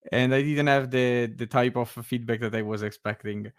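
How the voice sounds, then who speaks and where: Italian guy on a video call, smooth voice, lots of uhs and restarts, laughs a lot. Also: medium pitch, dry recording, studio quality. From Italy. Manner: hesitant, many fillers and restarts; laughs often. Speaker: meetup guest. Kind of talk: casual chat.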